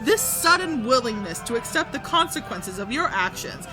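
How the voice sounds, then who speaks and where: An actress plays a self-important female podcaster reporting live in an audio drama. Her high voice is animated and earnest, with music behind her. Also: moderate pace, poor audio. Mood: indignant. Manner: pompous, earnest.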